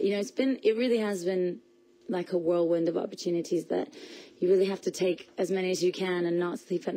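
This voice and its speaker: A young woman from Portugal, a singer, chatting quickly in a radio studio interview, with many restarts and laughs. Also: fairly low pitch, dark tone. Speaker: singer guest.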